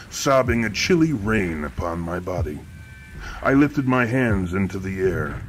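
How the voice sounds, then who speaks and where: A man playing a grandiose, menacing killer who sees murder as art, narrating a horror audio drama in a florid, pompous, deliberate way. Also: low-pitched, slight background noise, lightly acted. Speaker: narrator of a horror fiction podcast. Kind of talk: scripted first-person horror narration, theatrical. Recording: narration over prominent music bed. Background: music.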